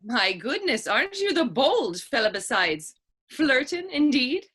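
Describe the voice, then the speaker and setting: A woman plays a quick-witted Irish lass in a stage play. Her voice is high and sharp, with lively swoops. She is saucy and challenging, trading folksy retorts. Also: slightly rough, full character voice. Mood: mock offended. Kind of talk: stage readers theater dialogue.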